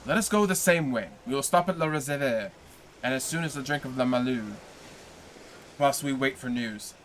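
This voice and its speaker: A man reading aloud puts on a crafty, jealous ship's officer from a storybook, who asks sly, probing questions in a bright, lifted tone. He needles and schemes with a sneer in every line. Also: medium pitch, normal speed, a little hiss or hum, lightly acted. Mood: scheming.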